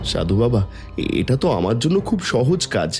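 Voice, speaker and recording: Humble cartoon villager played by a man: mid-pitched, soft dark tone, lively intonation, earnest and devoted. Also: brisk, soft background music, close to an everyday voice. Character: poor, devoted village son and father. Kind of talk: Bengali cartoon folk-tale voice acting.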